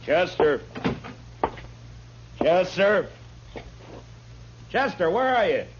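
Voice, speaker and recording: An American actor playing a firm, laconic frontier marshal in a 1950s radio western. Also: male voice, sits high, very animated, very slow, exaggerated voice. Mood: impatient. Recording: muffled, noisy old radio recording with hum.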